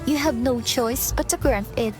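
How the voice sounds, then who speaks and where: A woman playing a lively, chatty young office assistant who teases her coworkers in an audio drama. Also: on the high side, unhurried, close to an everyday voice. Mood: earnest. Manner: bright, chatty and teasing. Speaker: lead character and narrator. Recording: music bed under voice. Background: romantic background music.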